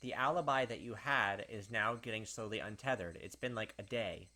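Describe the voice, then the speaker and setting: A man's voice: on the low side, normal speed, pushed and bright. A man reading visual novel narration aloud as a let's player, breaking off for casual asides.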